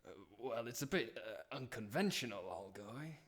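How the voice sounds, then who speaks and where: A man's voice: medium pitch, expressive, moderate pace, husky. Sheepish, apologetic puppet wedding partner, played by a man. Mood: disappointed, polite. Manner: hesitant, sheepish. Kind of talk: comic puppet musical dialogue.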